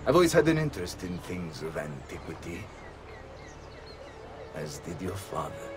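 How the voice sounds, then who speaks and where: An actor voicing a measured, dignified Italian nobleman in a video game, formal, with an Italian accent. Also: deep voice, big pitch swings, music in the background. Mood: grave, gracious. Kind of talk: video game cutscene acting.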